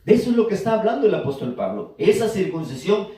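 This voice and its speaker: A man's voice: high-pitched, sing-song, fast talker, dark tone. A man preaching a sermon to a congregation, teaching and asking them to answer back. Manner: teaching, asks the congregation to respond. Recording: echoey church room from far off.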